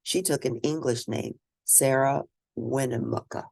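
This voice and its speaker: A woman reading a children's story aloud and discussing it in an expressive, teacherly manner. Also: very deep voice, a little slow, gravelly, dry recording. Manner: expressive, teacherly, asks questions of listeners. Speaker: storybook reader.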